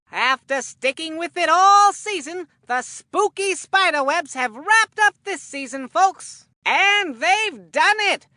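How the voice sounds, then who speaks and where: High bright old grandpa baseball announcer, folksy n rambly, forgetful, cartoon game style. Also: no room sound, clean studio sound, full character voice. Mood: excited announcing. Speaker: sports commentator in a children's baseball game.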